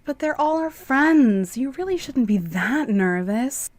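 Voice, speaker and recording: An actress plays a gentle wife in radio mystery. Her voice is fairly high and closely recorded, soothing and full of concern. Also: animated, a little slow, no room sound, clean studio sound. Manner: solicitous, soothing. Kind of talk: radio drama acting.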